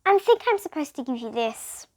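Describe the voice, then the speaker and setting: Woman reading as an innocent, high-voiced schoolboy. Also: very animated, moderate pace, no echo. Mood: polite. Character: hesitant schoolboy messenger, innocently evasive.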